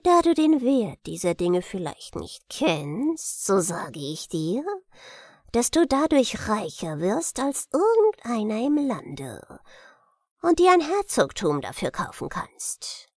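A haughty gnome king from a storybook, played by a woman, coaxes with false friendliness. The speech is slow and pompous, sliding into breathy whispers. Intonation swoops widely, in a dry, close sound. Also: medium pitch, big pitch swings, no echo, heavily acted. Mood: coaxing, condescending. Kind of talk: fairy-tale audiobook reading.

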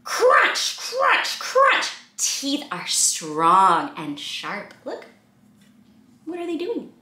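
A woman's voice: sits high, wildly swooping pitch, drawn-out words, pushed and bright. A young adult woman reads aloud interactively to small children, with exaggerated expression and questions put to the listeners. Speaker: children's read-aloud host. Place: small indoor room at a desk. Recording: roomy indoor echo.

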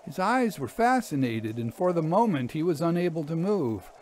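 A man narrating scary stories in a suspenseful, deliberate, theatrical manner. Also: fairly high pitch, big pitch swings, a little mellow, slight background noise. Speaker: narrator, actor and author. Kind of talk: dramatic scripted ghost story narration.

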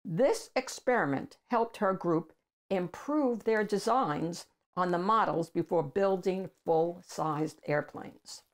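An older woman reads a picture book aloud as a host for children, with deliberate, emphatic phrasing. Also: on the low side. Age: older, 60s or above. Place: small room at home with bookshelves.

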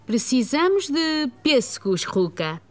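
A caring, patient mother in a children's cartoon. Her reassuring European Portuguese is high and lively in pitch, over faint music. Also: slow pace, exaggerated voice. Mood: gentle concern.

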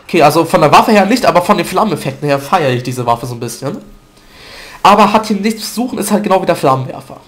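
A man's voice: a little high, lively intonation, quick pace, a bit bright. A man with a stuffy nose from a cold, chatting loosely through gameplay commentary with fillers and self-corrections, recorded at a desk mic at home. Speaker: gaming video commentator.

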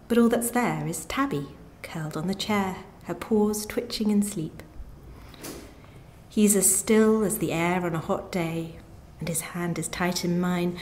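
A woman reading her short story aloud in a measured, literary narration style. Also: on the low side, darkish tone. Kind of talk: live reading of literary fiction.

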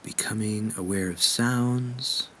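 A man leading a guided meditation in a gentle, unhurried way with measured pauses. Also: fairly low pitch, a little hiss or hum.

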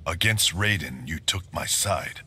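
A man playing a grim, vengeful ninja clan leader in a video game, speaking in a solemn, measured way. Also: deep voice, lively intonation, noisy background. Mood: grave, solemn. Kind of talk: video game fight intro dialogue.

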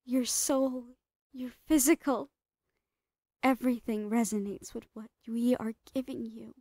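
A woman's voice: fairly high pitch, fairly even intonation, a little slow, warm tone, no room sound, studio quality. A woman playing a psychotic female doctor who dotes obsessively on a captive, cooing and slipping into whispers in a horror roleplay. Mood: gleefully obsessed.

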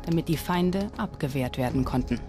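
A woman's voice: low voice, normal speed. A woman reading scripted documentary narration. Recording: music under the voice. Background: background music.

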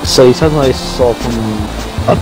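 A man's voice: mid-range voice, steady tone, fairly quick, music in the background, close to an everyday voice, poor audio. A man playing a casual magical companion in an improvised Minecraft roleplay, repeating short phrases. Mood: casual, curious. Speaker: roleplay player.

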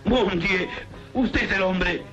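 A theatrical French impresario, gushing and fussy, with a French accent. His voice sits a little high. The sound is dull, like an old film soundtrack with music under it. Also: slow pace, full character voice. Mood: effusive. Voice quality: excitable, theatrical. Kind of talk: 1960s film comedy acting.

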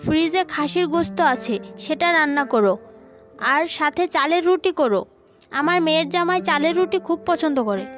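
A woman's voice: high voice, expressive, brisk, soft background music. A woman voicing a stern, bossy older village mother-in-law in a cartoon family drama, with an insistent manner. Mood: bossy, dismissive. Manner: commanding, insistent.

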